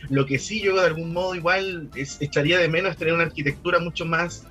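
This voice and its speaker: A man's voice: a little high, fairly even intonation, quick pace, bright, forceful tone, soft background music. A man with a Chilean accent speaking as a guest expert, explaining in a conversational, discursive interview style with some repeated words. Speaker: guest expert on local architectural history.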